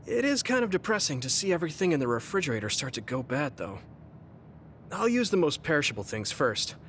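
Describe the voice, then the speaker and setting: An actor playing a kind, level-headed young man in an anime game, gentle and considerate. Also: a little high, sing-song, brisk, slight background noise. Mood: kind, calm.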